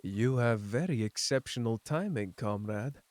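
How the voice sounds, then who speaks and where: A man acting a calculating, sinister spymaster villain in an audio drama, formal and measured in manner. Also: medium pitch, animated, a little mellow, dry recording, natural voice. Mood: coolly scheming.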